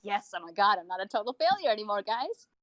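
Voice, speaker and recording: A woman's voice: medium pitch, sing-song, fast talker, fairly bright tone, no echo. A woman in casual podcast chat, joking along in a self-deprecating way. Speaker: podcast speaker.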